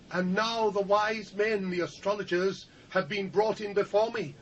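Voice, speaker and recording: A man's voice: high voice, a bit bright. An actor with a British accent playing a proud, anxious ancient king in a dramatised Bible reading, declaiming imperiously. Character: proud anxious Babylonian king addressing a captive sage. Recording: dull hissy old cassette.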